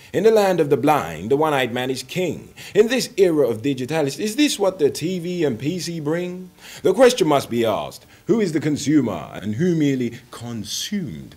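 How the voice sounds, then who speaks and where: A man in his twenties performing rhymed spoken word poetry as a poet, with deliberate, dramatic phrasing and stretched words. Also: mid-range voice, a little mellow. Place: indoor room, seated on a sofa.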